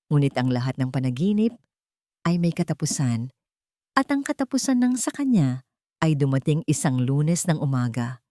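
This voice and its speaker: A woman's voice: low voice, mellow, dry recording, studio quality. A woman storyteller narrating a scripted romantic story.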